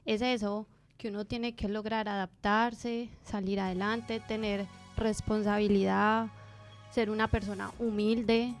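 A woman's voice: mid-range voice, flat delivery, unhurried, soft background music. A woman guest on a radio show, giving earnest advice to young people. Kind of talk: answering a question on air.

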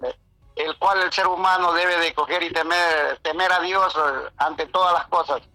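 A man's voice: on the high side, steady tone, normal speed, sharp tone, no echo, faint music behind, poor audio. A man calling into a radio show by phone, rambling in a preachy, hesitant way about God and good character. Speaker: caller. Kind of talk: religious phone-in chat.

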